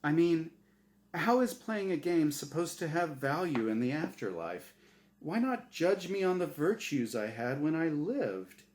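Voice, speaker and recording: A man's voice: on the high side, very animated, unhurried, darkish tone, voice pushed up. A man voicing an anxious, bewildered dead man in a story reading, hesitant and questioning. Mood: nervous, puzzled.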